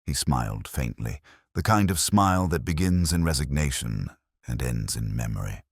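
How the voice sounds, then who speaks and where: A man narrates a literary detective mystery in a very deep voice. His delivery is measured and formal, yet the intonation moves up and down a good deal. The sound is dry, with almost no room to it. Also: lively intonation, husky, dry recording. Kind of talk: scripted first-person detective mystery narration.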